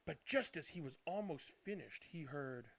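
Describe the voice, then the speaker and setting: A man reading a children's picture book aloud as a storyteller, dramatically, with drawn-out words and hushed passages. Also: a little high, lively intonation, creaky, slight background noise. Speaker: read-aloud storyteller and channel host. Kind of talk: expressive children's picture book read-aloud, then casual chat.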